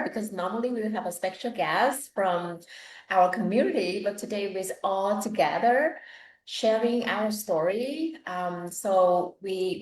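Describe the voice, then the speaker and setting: A woman with a Vietnamese accent introducing herself casually as a panelist, recorded in an echoey room. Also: mid-range voice. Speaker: talk show panelist, online business owner. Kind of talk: casual spoken self-introduction. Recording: echoey room, online live stream.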